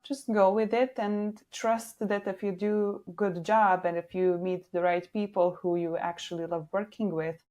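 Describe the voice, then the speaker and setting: A woman's voice: medium pitch, level tone, measured pace, hardly any pauses, darkish tone. A young woman from Slovenia with a Slovenian accent, chatting casually as an interview guest and often saying "like.". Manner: chatty, frequent "like" fillers. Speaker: guest, social media manager.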